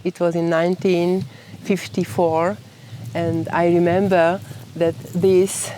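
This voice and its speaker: A woman in her seventies who grew up in Slovakia with Hungarian as her first language speaks as a poet in a reflective documentary interview. She talks haltingly, searching for words and often restarting her sentences. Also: on the low side, level tone, dark tone, slight background noise. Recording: roomy echoey sound.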